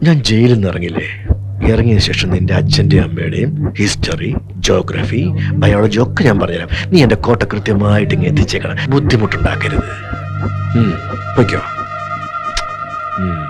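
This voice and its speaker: An actor dubbing a gruff, greedy male convict who talks in a bossy, sarcastic way. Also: on the low side, wildly swooping pitch, moderate pace, long pauses, over loud music.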